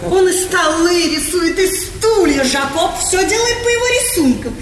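A vain princess of 1800 in a stage comedy, very high-voiced and gushing. She speaks with affected, theatrical rapture and drops French endearments. The sound rings in a reverberant hall with faint hiss. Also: expressive, measured pace. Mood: rapturous boasting. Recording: echoey theatre hall, noisy 1980s recording.

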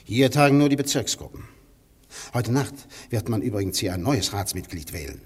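An actor in a radio play playing a proud, conspiratorial anarchist poet with a rough, husky voice and a declamatory, intense manner. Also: male voice, on the low side, lively intonation, normal speed. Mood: solemn, boastful. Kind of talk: German radio play acting.

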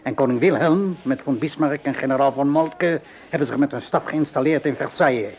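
An actor playing a middle-aged, cynical Parisian tavern keeper in a radio play, speaking in a weary, sardonic, measured way. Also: male voice, mid-range voice, close to an everyday voice. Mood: scornful, sardonic. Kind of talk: Dutch radio play acting. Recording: noisy old radio play recording.

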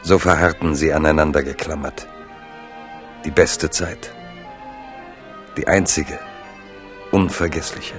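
A very deep, solemn narrator. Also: male voice, fairly even intonation, slow pace, pauses often, fairly bright tone, faint music behind, full character voice. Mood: rapt, solemn. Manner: solemn, lyrical, dramatic. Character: solemn, lyrical narrator of a tragic romance. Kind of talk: literary radio drama narration.

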